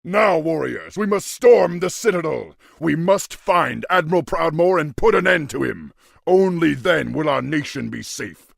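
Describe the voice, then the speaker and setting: A man voicing a noble, resolute orc warchief in a fantasy game, with a deep husky voice and weighty, stately delivery. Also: animated, a little slow, no room sound, clean studio sound, full character voice. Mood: rallying. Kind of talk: fantasy video game acting.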